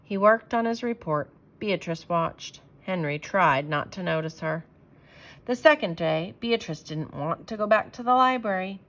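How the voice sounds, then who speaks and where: A woman reading a children's storybook aloud as an expressive storyteller, with emphatic phrasing. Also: on the low side, unhurried.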